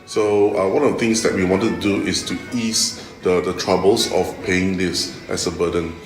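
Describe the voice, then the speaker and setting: Low-voiced Malaysian man in an echoey room. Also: steady tone. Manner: halting, repeats words, often ends phrases with "okay". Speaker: interviewee, tech executive. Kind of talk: explanatory interview answers. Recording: echoey room, music underneath.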